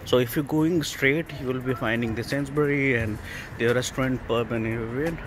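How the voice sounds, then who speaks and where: A man giving casual, halting walking tour commentary as a travel vlogger, in simple phrases. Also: medium pitch, noisy background. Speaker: travel vlogger guiding a town walk. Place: outdoors on town centre streets.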